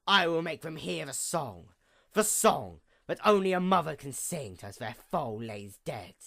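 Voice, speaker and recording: A woman voicing a proud, wounded pony goddess of night in a theatrical villain monologue, menacing and grandiose with a gravelly creak. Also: deep voice, big pitch swings, a bit bright, heavily acted. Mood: vengeful menace. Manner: theatrical, menacing, grandiose, with slow drawn-out emphasis. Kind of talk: dramatic villain monologue, fan fiction reading.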